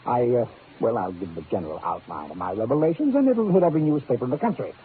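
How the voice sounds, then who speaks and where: A man's voice: medium pitch, expressive, quick pace, lightly acted. An actor playing a self-important crusading newspaper man in a 1950s radio drama, pompous and oratorical. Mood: pompous, urgent. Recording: tinny, narrow old radio recording, faint noise.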